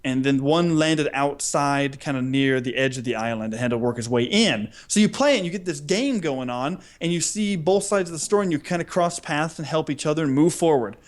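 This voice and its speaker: A man co-hosting a podcast, telling stories in a casual, humorous, animated and rambling way. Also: fairly high pitch, fairly quick, few pauses. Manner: animated, rambling, acts out imagined dialogue. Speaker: podcast co-host. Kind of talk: casual humorous chat, storytelling.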